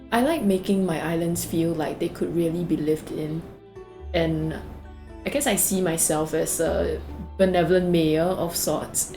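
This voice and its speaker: A woman's voice: fairly low pitch, dark tone. A young woman from Singapore with a Singaporean English accent, chatting casually through a game tour with lots of fillers. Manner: chatty, rambling, many fillers and "like"s. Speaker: gaming video creator. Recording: faint background music.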